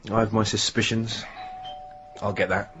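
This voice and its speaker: A man's voice: lowish voice, level tone, normal speed, fairly bright tone, lo-fi sound, soft background music, lightly acted. An actor playing a courteous, plain-spoken young male police detective in a village radio drama. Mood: matter-of-fact. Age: young adult. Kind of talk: modern radio drama acting.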